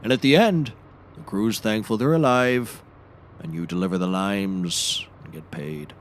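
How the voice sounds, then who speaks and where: An actor playing a gruff, deadpan outdoorsman and old sea hand in a scripted comedy audio show, with a dry storytelling manner. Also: fairly low pitch, measured pace, a little hiss or hum, close to an everyday voice. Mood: dry, expansive.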